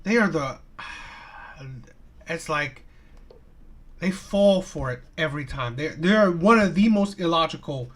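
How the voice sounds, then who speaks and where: A man hosting a live stream and giving reaction commentary, talking in a halting way with many restarts and tag questions like "right?". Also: on the high side, lively intonation. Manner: halting, repeats "right?" and "okay?".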